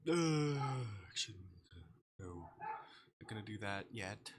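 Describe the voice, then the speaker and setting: A man chatting casually on a game livestream, thinking aloud in a halting way. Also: on the low side, lively intonation, background noise. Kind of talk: casual livestream chat while playing a game.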